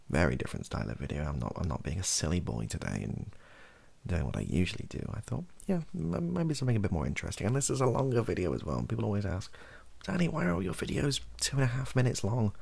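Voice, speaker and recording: A British man in his thirties rambling softly and hesitantly in an improvised, self-deprecating ASMR style, with a gravelly, husky voice. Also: low voice, sing-song, quick pace. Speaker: video host, ASMR creator. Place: small bedroom office, indoors.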